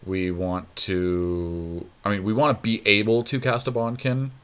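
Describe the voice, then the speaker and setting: A man's voice: fairly low pitch, little pitch movement, measured pace, fairly bright tone, clean tone. A man co-hosting a podcast, chatting casually about card game strategy and thinking his choices through aloud. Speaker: podcast co-host.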